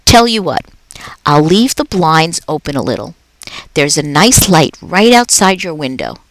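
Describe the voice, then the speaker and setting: Woman playing a jolly male night janitor, cheerful, joking, lively voice, storybook, dry sound. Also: low voice, measured pace, no room sound, rough recording.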